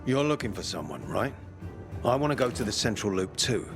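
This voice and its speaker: An actor playing a stern, commanding military peacekeeper officer in a video game, authoritative and sardonic. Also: male voice, on the low side, big pitch swings, fairly quick. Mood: grave, urgent. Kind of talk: video game cutscene acting. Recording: game music behind the voice.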